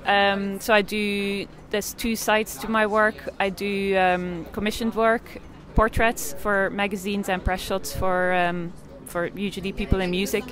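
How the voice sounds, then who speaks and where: A woman's voice: medium pitch, flat delivery, unhurried, few pauses, a bit bright. A woman in her forties from Belgium, an artist and photographer answering interview questions in a hesitant way, with many fillers and restarts.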